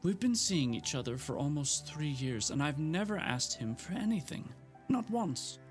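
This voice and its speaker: A man reading aloud as a defiant young woman, her voice lifted well above a man's usual range, pleading and indignant as she stands her ground. She speaks slowly, leaning on her words, with faint music underneath. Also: big pitch swings. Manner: pleading, indignant, voice pushed up high.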